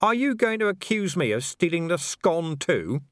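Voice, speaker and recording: A man voicing a gruff, exasperated middle-aged commander who talks bluntly and indignantly in an audiobook. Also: a little high, sing-song, moderate pace, fairly bright tone, no room sound, clean studio sound, voice pushed up. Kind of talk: audiobook character voice.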